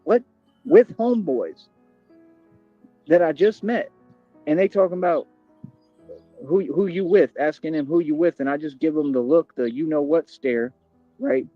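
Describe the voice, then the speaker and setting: A man's voice: fairly high pitch, unhurried, long pauses, no echo, faint music behind. A man ranting boastfully and confrontationally on a livestream, heavy on slang. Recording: dull, dry lo-fi stream audio.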